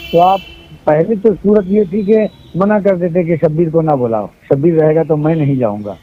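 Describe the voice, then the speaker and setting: A man's voice: a little high, moderate pace. A man explaining himself emphatically in a recorded phone conversation, giving his side as an interviewee. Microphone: phone line. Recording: muffled, tinny recorded phone call.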